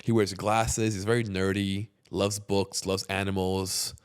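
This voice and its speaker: A man in his mid-thirties from Queens, New York, with a fairly low voice. He talks bluntly and jokes around, swearing casually in a relaxed podcast chat. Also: unhurried, clear, resonant tone. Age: 30s. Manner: blunt, joking, swears freely.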